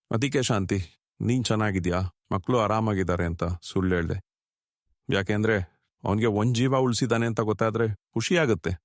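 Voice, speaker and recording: A man's voice: lowish voice, no room sound, studio quality, close to an everyday voice. A man playing a kindly, grieving older husband, an electrician, speaking in an earnest, fatherly way in a cartoon story. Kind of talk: dramatic dialogue in a cartoon short story.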